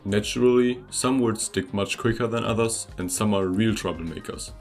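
A man's voice: fairly low pitch, normal speed. A young German man, a native German speaker, narrating a scripted video about learning languages in an upbeat, conversational way that speaks straight to the viewer. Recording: voice-over with faint music behind.

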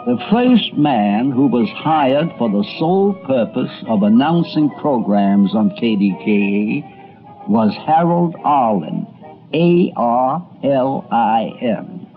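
An American man narrates a documentary at an unhurried pace. His medium-pitched voice comes slightly muffled, with music playing underneath. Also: sing-song.

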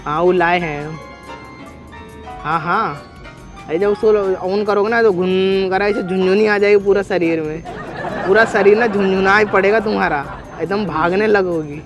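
High-pitched cheeky Bhojpuri-accented young man. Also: moderate pace, muffled recording, a crowd in the background. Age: young adult. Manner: rustic, teasing, cheeky. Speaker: prankster. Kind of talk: staged loud phone chat for a street prank. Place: outdoors in a leafy park. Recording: outdoor recording with music underneath. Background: music.

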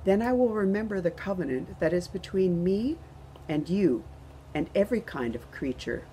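A woman's voice: fairly low pitch, animated, normal speed, dark tone, slight background noise. A woman in her sixties reading scripture aloud as a member of the clergy. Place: outdoors in a garden.

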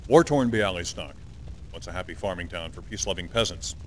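A man's voice: low voice, lively intonation, fairly quick, fairly bright tone, a little hiss or hum. A man playing a solemn, indignant propaganda war correspondent delivering a grave, rhetorical field report in a video game. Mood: grave, mournful. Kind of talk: dramatic field news report, game acting.

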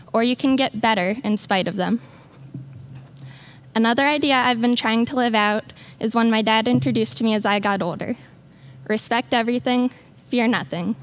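Bright-voiced teen girl giving a speech. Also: fairly high pitch. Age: late teens. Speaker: student speaker. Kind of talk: read graduation speech.

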